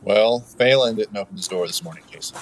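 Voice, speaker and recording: An actor playing a brisk, matter-of-fact police captain in a radio crime comedy. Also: male voice, lowish voice, slight background noise, lightly acted, poor audio. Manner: brisk, factual. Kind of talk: old-time radio crime acting.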